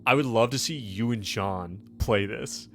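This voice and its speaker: A man's voice: lowish voice, a little slow. A man hosting and running a tabletop game podcast, chatting casually with friends in a teasing, profane way. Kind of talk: casual banter among friends.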